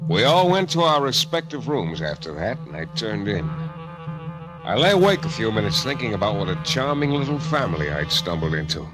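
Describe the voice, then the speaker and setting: A man's voice: on the low side, very animated, a little fast, rough recording. An actor playing a wry, hard-boiled American private detective, narrating his case in a 1940s radio drama style. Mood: wry narration. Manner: wry, world-weary storytelling. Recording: old radio broadcast with music behind.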